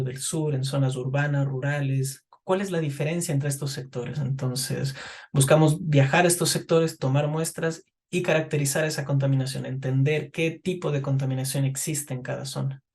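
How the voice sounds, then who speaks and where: A man's voice: mid-range voice, steady tone, normal speed, darkish tone. A middle-aged man from Ecuador answering interview questions about his work as a chemist, thoughtful and often repeating words as he searches for them. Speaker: guest chemist.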